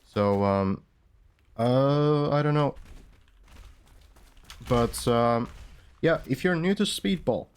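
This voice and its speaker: A man's voice: medium pitch, expressive, slow pace, long pauses, clear, resonant tone. A man from Finland, casting an online game match in a loose, rambling way, full of fillers and restarts. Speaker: esports caster. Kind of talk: casual game commentary.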